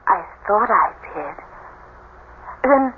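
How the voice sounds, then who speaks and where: An actress playing a timid, anxious young wife in an old radio drama, hesitant and emotional. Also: female voice, medium pitch, expressive, drawn-out words. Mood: anxious, questioning. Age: young adult. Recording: noisy, phone-like old radio recording.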